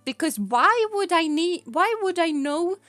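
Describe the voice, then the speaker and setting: A woman's voice: very high voice, animated, unhurried, a bit bright, dry recording, soft background music. A woman with a Swedish accent, a native Swedish speaker, chatting casually on a stream, rambling and giggling as she talks. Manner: rambling and giggly, often laughing mid-sentence. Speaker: VTuber streamer.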